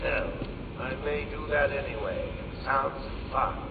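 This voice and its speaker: An actor playing a gleeful, sadistic clown villain in a video game, taunting and mocking with manic laughter. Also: male voice, mid-range voice, slow pace, reverberant, heavy background noise. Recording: tinny distant loudspeaker sound with music.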